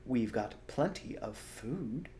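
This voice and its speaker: A man voicing a fatherly male fox leader, kindly and hushed. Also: mid-range voice, expressive, slow, a little hiss or hum.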